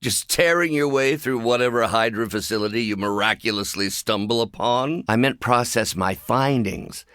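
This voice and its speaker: A man voicing a mild-mannered, brilliant but troubled middle-aged scientist for a video game, speaking in a measured, articulate, wry way. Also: medium pitch, fairly bright tone, no room sound, studio quality, lightly acted. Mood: dry, exasperated.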